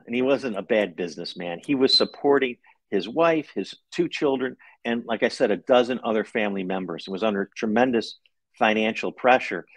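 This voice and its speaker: A middle-aged man telling a story in a conversational interview, in a measured, explanatory and at times halting way, as an author on a video call. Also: mid-range voice, dry recording, low-quality recording. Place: room at home, indoors.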